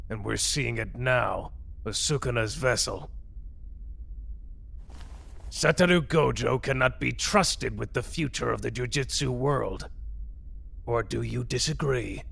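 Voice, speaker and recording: A man voicing a cold, contemptuous elder official in a roleplay audio drama, commanding and menacing, with a gravelly, raspy voice. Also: mid-range voice, takes it slowly, long pauses, a bit bright, exaggerated voice.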